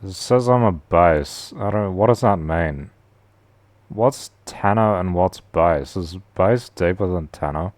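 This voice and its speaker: Deep-voiced man in slangy stream chatter. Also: slow, a little mellow, dry recording. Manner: slangy, rambling, says "bro" a lot. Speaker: live streamer. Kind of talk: casual stream chat.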